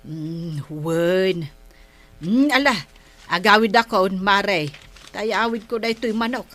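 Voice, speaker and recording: A woman plays a nosy village comadre in an Ilocano comic drama. She has a medium-pitched voice and an Ilocano accent. She talks in a chatty, neighbourly gossip style. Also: takes it slowly, a bit bright, soft background music. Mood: gossipy. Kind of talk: comedic barangay radio drama acting.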